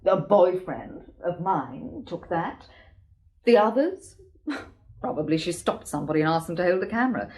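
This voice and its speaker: A blunt, worldly sister. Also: female voice, lowish voice, very animated, normal speed, darkish tone. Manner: frank, sardonic. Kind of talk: radio drama acting.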